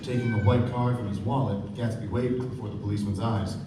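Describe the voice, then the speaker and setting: Actor as polite gentleman narrator, echoey distant stage. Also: fairly low pitch, steady tone, quick pace, natural voice. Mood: measured, reflective. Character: Nick, polite, observant young gentleman narrator. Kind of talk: stage radio play narration. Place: theater stage before an audience. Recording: echoey stage, distant mic, noisy room.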